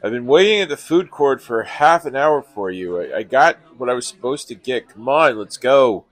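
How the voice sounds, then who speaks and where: A man's voice: medium pitch, animated, moderate pace, no room sound, natural voice. An actor playing a sensible, exasperated young man who complains at his friend in a comedy radio play. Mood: weary, exasperated. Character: exasperated, sensible young male best friend named Stu.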